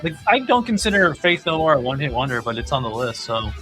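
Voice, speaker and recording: A man's voice: medium pitch, big pitch swings, fast, fairly bright tone. A man hosting a podcast and chatting casually about music. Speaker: podcast host. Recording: music playing behind the voice. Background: clear music.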